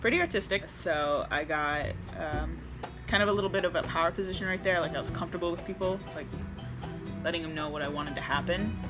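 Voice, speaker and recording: A woman's voice: on the low side, fast talker. Casual young woman from Ohio, music behind. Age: young adult. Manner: casual, filler-heavy talk. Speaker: contestant. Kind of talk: confessional interview.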